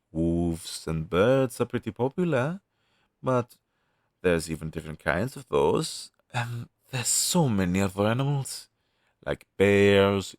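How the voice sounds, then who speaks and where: Male actor for a demon crow familiar, fantasy roleplay audio: helpful explainer, animated intonation, dry close sound. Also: deep voice, dry recording, full character voice. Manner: conversational, explanatory, reassuring, with thoughtful hums. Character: friendly male demon crow familiar advising a young witch.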